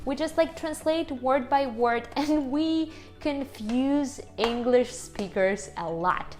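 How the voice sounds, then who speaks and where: A woman with a strong Ukrainian accent chats to her viewers from her home kitchen. Her voice sits a touch high and her pace is slow and unhurried, with laughs slipping in. Soft music plays faintly behind her. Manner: chatty and upbeat, laughing often, addressing viewers as guys. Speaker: YouTuber. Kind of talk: casual talk to camera.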